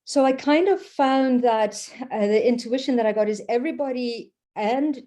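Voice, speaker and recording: A woman, her warm, mellow voice rambling loosely through a spiritual talk. She keeps checking in with a questioning "okay?" and lets fillers and restarts slip in as she thinks aloud. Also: fairly high pitch, normal speed. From Portugal. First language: Portuguese. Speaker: Reiki practitioner.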